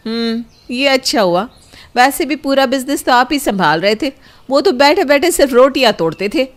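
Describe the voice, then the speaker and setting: Woman doing a brash high-pitched sister-in-law. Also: animated, normal speed. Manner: brash, retorting. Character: sharp-tongued married sister-in-law, bold and brazen. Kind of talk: cartoon dialogue.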